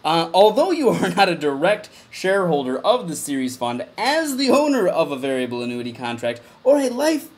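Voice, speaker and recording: A man giving a mock-dramatic comedic reading of a formal document, with overblown emphasis and deadpan muttered asides. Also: on the high side, moderate pace, hardly any pauses, husky.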